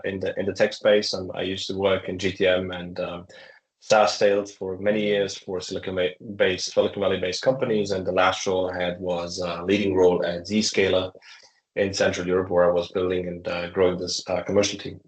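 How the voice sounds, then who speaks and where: A man, a startup founder, answering interview questions in a halting way, with many fillers and restarts. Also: low voice, little pitch movement. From Croatia. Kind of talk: conversational interview. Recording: echoey room.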